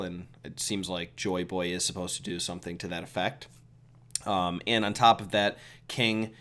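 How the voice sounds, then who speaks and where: Sick man chatting casually, low voice. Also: clear, resonant tone. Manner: relaxed, chatty, opinionated, many fillers. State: sick with a cold. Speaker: video host reviewing a comic chapter. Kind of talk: casual solo commentary.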